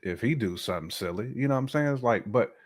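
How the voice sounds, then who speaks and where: A man's voice: mid-range voice, animated, quick pace. An American man co-hosting a casual relationship talk show, giving emphatic opinions, repeating his points and acting out imagined quotes. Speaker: podcast co-host.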